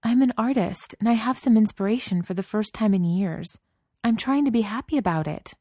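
A woman's voice: medium pitch, normal speed, darkish tone, dry recording, studio quality, pitched above the performer's own voice, close to an everyday voice. A woman voicing a frustrated young woman artist who speaks in an impassioned, raised voice.

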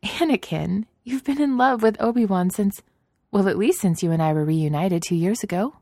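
A woman reading a story aloud, voicing a calm, loving young woman, a little higher than her narration. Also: moderate pace, no echo, studio quality, close to an everyday voice. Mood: gently reassuring.

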